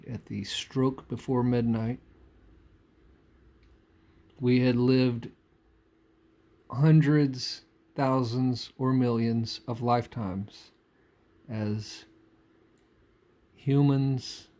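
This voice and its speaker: A man giving an unscripted spiritual monologue in halting, deliberate phrases with pauses and repetition. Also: medium pitch, fairly even intonation, dark tone. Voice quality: frequent throat clearing. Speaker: spiritual speaker.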